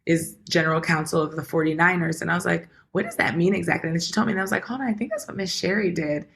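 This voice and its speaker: A woman guest from Washington, D.C. with a raspy voice, speaking emphatically and correcting details firmly. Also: fairly low pitch, rapid-fire, a bit bright. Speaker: podcast guest. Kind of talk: casual conversation.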